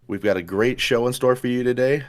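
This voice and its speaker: A young man co-hosting a podcast, his voice hoarse, talking in a relaxed, chatty way with lots of fillers and restarts. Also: mid-range voice, brisk. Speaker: podcast co-host. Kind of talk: casual chat.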